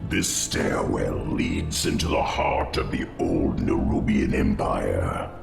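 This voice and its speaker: A man voicing an ancient, grave undead spider creature in a video game, speaking solemnly and formally with a monstrous rasp. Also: extremely low pitch, lively intonation, slow pace, exaggerated voice. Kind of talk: video game cutscene acting. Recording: echoing voice over faint music.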